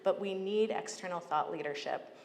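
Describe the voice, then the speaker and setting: A woman's voice: lowish voice, normal speed. An American woman giving an earnest, persuasive prepared speech as a public agency leader, with emphatic delivery. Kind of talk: prepared advocacy speech to a conference. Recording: echoey hall, clear background noise.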